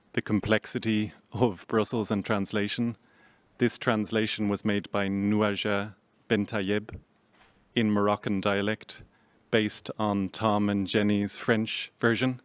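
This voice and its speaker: A man from Ireland reading his own poem aloud on a theatre stage, in a measured, unhurried recitation. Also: on the low side, flat delivery, clear, resonant tone, no room sound. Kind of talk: poetry reading.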